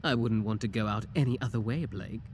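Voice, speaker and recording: A man's voice: on the low side, a little fast, slight background noise, close to an everyday voice. A male voice actor playing a shameless, flirty tiny clone scientist in an ASMR audio roleplay, teasing and suggestive in a theatrical way. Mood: excited, lewd.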